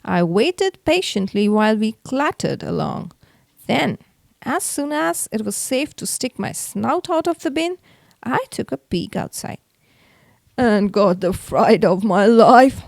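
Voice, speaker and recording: A woman reading a children's story aloud in an expressive, theatrical way. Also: on the high side, slow pace, vocal fry, no echo. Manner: theatrical, acts out the exclamations. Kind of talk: expressive read-aloud narration for kids.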